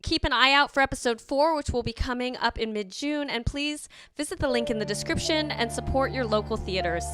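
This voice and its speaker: A woman's voice: on the high side, a little fast, few pauses, fairly bright tone, faint music behind. A woman hosting a show, reading out an outro and introducing the cast.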